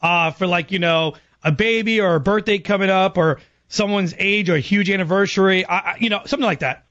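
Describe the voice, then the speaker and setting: Guy radio host w a really high voice, casual banter, dull sound. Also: fairly quick, fairly bright tone. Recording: slightly muffled lo-fi audio.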